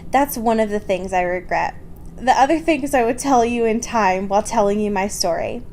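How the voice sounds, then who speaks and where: A youthful, wistful female vampire read by a woman tells her life story as fan fiction. The voice is fairly high and reflective, with clear noise behind it. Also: normal speed, darkish tone, close to an everyday voice. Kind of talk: reading fan fiction aloud.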